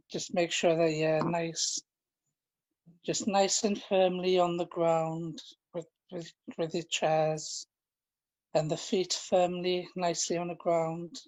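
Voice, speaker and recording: A man leading a guided relaxation, speaking in a gentle, informal and halting way, often repeating his phrases. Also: on the high side, poor audio. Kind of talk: guided relaxation, meditation instruction.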